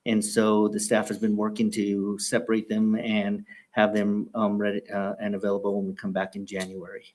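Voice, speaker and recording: A man's voice: on the low side, completely flat delivery, normal speed, a little mellow. A man leading a school board meeting, talking in a hesitant way with many restarts. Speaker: school superintendent running the meeting agenda. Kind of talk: meeting announcements.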